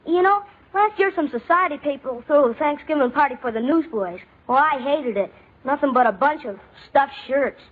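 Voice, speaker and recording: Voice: high voice, lively intonation, moderate pace. A performer playing an earnest, polite young newsboy in an old-time radio comedy. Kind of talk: 1940s-50s radio comedy acting. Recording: tinny old radio recording.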